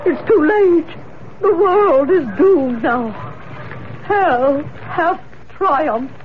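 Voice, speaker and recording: A woman's voice: sits high, lively intonation, very slow, very noisy, heavily acted. An actress playing a grave, fearful woman medium in a radio mystery drama, dramatic and ominous. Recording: tinny band-limited old radio, music behind.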